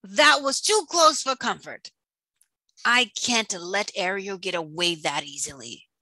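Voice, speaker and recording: A woman's voice: medium pitch, expressive, measured pace, pushed and bright, dry recording. A woman voicing a wicked, gloating female sea witch villain from a storybook, menacing and taunting. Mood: scheming. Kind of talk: storybook character voice.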